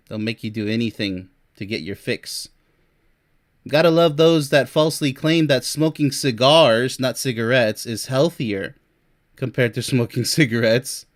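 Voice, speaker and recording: Forties male vlogger, mid-range voice, blunt and sarcastic, boastful, laughs at his own jabs. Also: mid-range voice. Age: 40s. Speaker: relationship coach and vlogger. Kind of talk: casual monologue reading from a list. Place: Europe.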